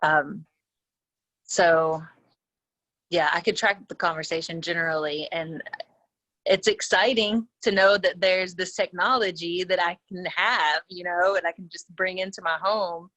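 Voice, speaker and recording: A woman's voice: lowish voice, steady tone, measured pace, fairly bright tone, dry recording, low-quality recording. A woman taking part as a guest on a conference call, talking conversationally and thinking aloud with hesitant phrasing. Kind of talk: conversational, reflective account.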